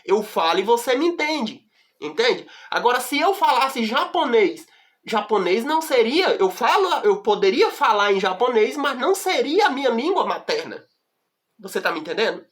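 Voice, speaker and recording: A woman with a Brazilian accent teaching a Bible study, reading verses slowly and deliberately and often checking in with the listener. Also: a little high, sing-song. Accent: Brazilian Portuguese.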